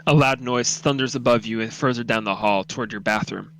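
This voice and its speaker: A man's voice: mid-range voice, a little fast, fairly bright tone, a little hiss or hum. A man reading a horror story aloud as narrator, dramatic, intense and suspenseful.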